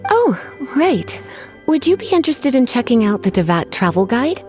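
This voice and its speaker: A woman's voice: fairly high pitch, big pitch swings, a little mellow, faint music behind. A woman playing a languid, teasing librarian in a video game, coy and polite with menace underneath. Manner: coy, sighing, drawn-out politeness. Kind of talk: English video game voice acting.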